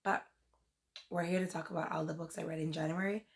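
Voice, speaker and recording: A young woman whose voice is hoarse from a cold, chatting casually and rambling a little as she reviews books in a vlog. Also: low voice, fairly even intonation, brisk. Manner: chatty, rambling, says "like" and "um" often. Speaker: book vlogger.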